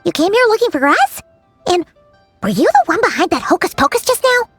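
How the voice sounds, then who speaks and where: A tiny, loyal fairy sidekick with an extremely high, childlike voice. The delivery is excitable and chatty, swooping widely in pitch, in an anime game style. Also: female voice, brisk, soft background music, exaggerated voice. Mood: startled, flustered. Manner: speaks of self in third person, exclamatory, hesitant asides.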